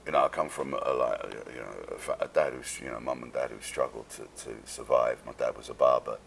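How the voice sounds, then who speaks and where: Very deep, gravelly London man. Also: steady tone, slight background noise. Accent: London English. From London, England. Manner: rambling, halting, sweary, streetwise. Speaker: interview guest, actor. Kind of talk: casual storytelling in an interview.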